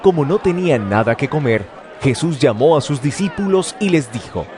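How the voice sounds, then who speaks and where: A man's voice: on the high side, lively intonation, moderate pace, a crowd in the background. A man narrating scripture from a script for an audio drama.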